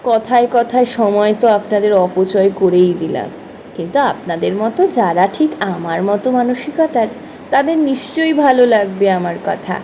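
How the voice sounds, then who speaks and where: A woman's voice: mid-range voice, animated, moderate pace, dark tone, slight background noise, poor audio. A woman giving an informal reflective monologue, chatting expressively and addressing listeners directly with rhetorical questions. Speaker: spoken-word content creator.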